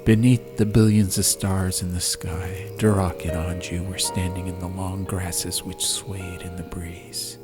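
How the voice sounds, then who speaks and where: A man's voice: low-pitched, little pitch movement, a little slow, few pauses. A man reading an atmospheric fantasy audiobook as a scripted storyteller. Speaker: audiobook narrator. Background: music.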